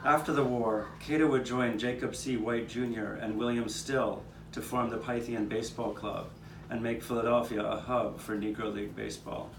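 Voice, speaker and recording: A man's voice: medium pitch, steady tone, moderate pace, vocal fry, few pauses. A man performing first-person historical storytelling as the narrator of a live puppet show, playing a neighborhood eyewitness. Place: outdoor courtyard performance. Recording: roomy, distant, noisy live courtyard recording.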